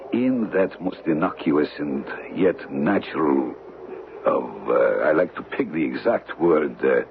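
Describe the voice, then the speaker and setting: An actor playing a cold, ruthless major, a foreign villain in a radio drama who speaks with formal, menacingly polite precision. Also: male voice, very deep voice, lively intonation, unhurried. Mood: suave menace. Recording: muffled, noisy old radio recording.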